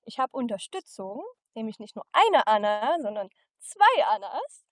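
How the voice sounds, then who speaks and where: A woman's voice: fairly high pitch, big pitch swings, a little slow, dry recording. A woman hosting and moderating a webinar, friendly and a bit hesitant. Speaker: webinar host.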